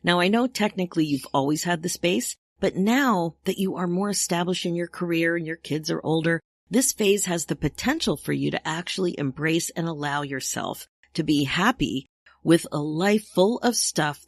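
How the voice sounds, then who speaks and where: Woman, 60s, podcast coach; lively chatty delivery, dead-dry close mic. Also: lowish voice, moderate pace, no echo. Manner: upbeat, chatty, laughs often. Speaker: podcast host and midlife coach. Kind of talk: solo podcast monologue.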